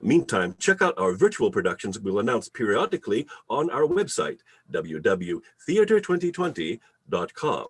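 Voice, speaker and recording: A man announces and narrates like an old-time radio storyteller. His slightly husky voice rises and falls widely, with theatrical, deliberate emphasis on each phrase. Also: fairly low pitch, big pitch swings. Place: remote video call reading.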